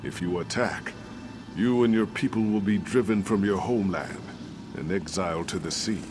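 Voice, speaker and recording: An actor playing an ancient, wise and haunted warrior spirit in a video game, speaking in a grave, measured, formal way. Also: male voice, sits low, lightly acted. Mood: solemn, testing. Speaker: game character posing moral tests. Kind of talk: scripted video game voice acting. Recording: music under the voice.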